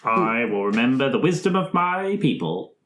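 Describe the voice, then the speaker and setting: A woman's voice: extremely low pitch, very animated, moderate pace, pitched below the performer's own voice. A woman voicing a small, earnest worm adventurer in a tabletop game, naive, plaintive and matter-of-fact. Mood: musing. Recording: roomy, echoing sound.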